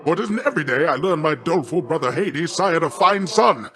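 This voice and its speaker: A man's voice: a little high, expressive, brisk, bright, forceful tone. A man voicing a boisterous, boastful sea god in a video game, a jovial, doting uncle who speaks in hearty, grandiloquent, old-fashioned phrasing. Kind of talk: theatrical video game character voice acting.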